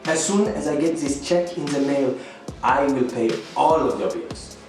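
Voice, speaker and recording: A man's voice: medium pitch, measured pace, natural voice. An actor playing a needy, apologetic male houseguest in a radio thriller, hesitant and eager to please, with theatrical flourishes. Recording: very echoey room, music underneath.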